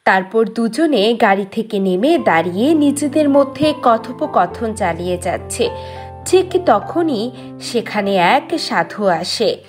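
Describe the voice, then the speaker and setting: Woman narrator, slow pace, slightly high voice, scripted cartoon storytelling, music underneath. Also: animated.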